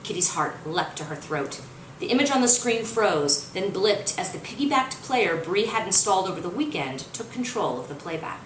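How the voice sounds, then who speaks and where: A woman reading fiction aloud as its author, in expressive, dramatic narration. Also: mid-range voice, moderate pace. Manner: dramatic, acts out dialogue. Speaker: author reading their own novel. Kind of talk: expressive audiobook-style narration. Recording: roomy, distant mic, faint noise.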